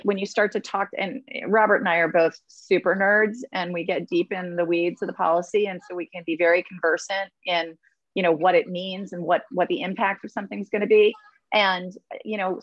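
A woman's voice: mid-range voice, highs cut off. A woman, a state representative, talking candidly in a virtual town hall, with lots of fillers. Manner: chatty, many fillers, candid. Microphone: video call.

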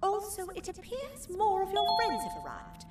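A woman playing a giant, gracious kangaroo queen in a video game, speaking in a formal, gentle, regal manner. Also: very high-pitched, wildly swooping pitch, normal speed, exaggerated voice. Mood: gentle, hopeful. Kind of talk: video game character acting. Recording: roomy, distant, under loud music.

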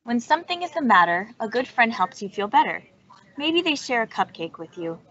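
A woman's voice: a little high, very animated, a little fast, a bit bright. A woman reading a children's science book aloud over a video call. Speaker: storytime reader. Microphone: video call microphone. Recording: dull, lo-fi video call audio.

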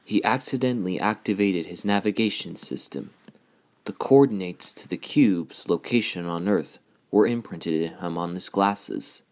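A man voicing a noble, solemn giant robot leader, declaiming a movie monologue gravely in a measured way. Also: low voice, pitched below the performer's own voice. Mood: grave, resolute. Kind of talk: movie monologue in amateur voice acting.

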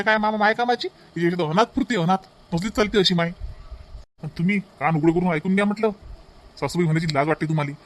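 A man's voice: high-pitched, natural voice. A man with a Varhadi Marathi accent voicing a furious, domineering cartoon husband who scolds scornfully. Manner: hectoring, scornful. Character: furious domineering husband scolding his wife and mother-in-law. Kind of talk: cartoon family drama voice acting.

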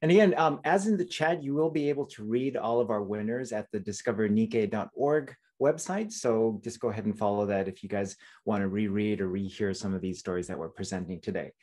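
A man's voice: on the low side, brisk, a little mellow. A middle-aged man hosting an online event in a casual, chatty way with joking asides. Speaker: host, moderator. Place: indoor room on video call.

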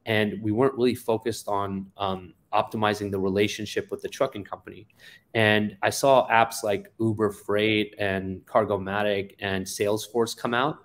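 Low-voiced man telling his story. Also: little pitch movement, moderate pace. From Afghanistan. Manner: many ums and uhs, matter-of-fact. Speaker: guest, company founder. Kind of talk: interview storytelling. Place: office indoors. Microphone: webcam on a video call.

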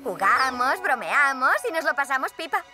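A woman's voice: high-pitched, big pitch swings, normal speed. A woman with a Castilian Spanish accent playing a bossy, playful young superhero girl captain in a children's cartoon dub. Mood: proud. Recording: studio dub over loud music.

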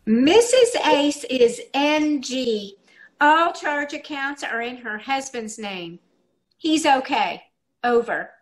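An actress playing an official bank clerk on an intercom in an old-time radio comedy, recorded over a video call. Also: female voice, high-pitched, lively intonation, slow.

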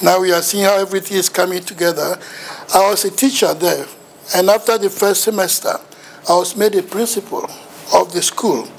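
A man's voice: high voice, steady tone, brisk, creaky, slight background noise, poor audio. A middle-aged man with a Ghanaian accent giving a seminar lecture in a lecture room, telling his story with self-mocking humour. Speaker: visiting university lecturer. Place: lecture room in front of a projection screen.

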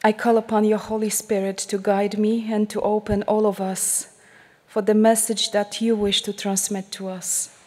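A religious sister with a Polish accent giving a heartfelt talk to a congregation, slowing to a hushed tone when she prays. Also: mid-range voice, flat delivery. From Poland. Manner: slow, heartfelt, drops to a hushed near-whisper in prayer. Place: large church shrine. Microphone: headset mic. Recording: long church reverb.

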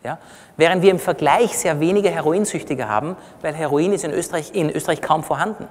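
A man's voice: a little high, a little fast. A middle-aged man with an Austrian accent gives an explanatory, conversational lecture, teaching plainly and checking in with short tag questions. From Austria, born in Iran. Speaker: physician giving a lecture. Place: on stage in a large congress hall. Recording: reverberant large hall.

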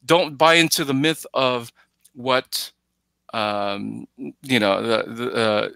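A middle-aged man giving casual film analysis as a podcast guest, halting and often starting phrases over. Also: mid-range voice, fairly even intonation, vocal fry, pushed and bright, clean tone, no echo, studio quality. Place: plain room at home, on a video call. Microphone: headset.

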